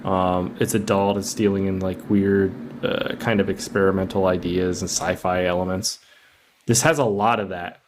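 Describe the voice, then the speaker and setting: A man's voice: sits low, little pitch movement, normal speed, background noise. A man guesting on a movie podcast, chatting casually with jokes and some swearing. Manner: joking, casually profane. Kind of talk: casual movie review chat.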